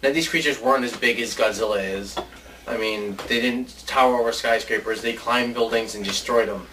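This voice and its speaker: A man with a medium-pitched voice chats informally and rambles through his ideas as a show co-host. He sounds a little away from the mic, with faint noise in the background. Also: a little fast, pushed and bright, recorded from a distance, rough recording. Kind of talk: casual chat pitching ideas.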